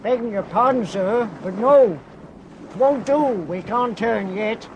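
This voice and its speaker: An actor playing a deferential but stubborn sailor in a radio drama. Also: male voice, high voice, unhurried, lo-fi sound, a little hiss or hum. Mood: firm, warning. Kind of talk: British radio drama acting.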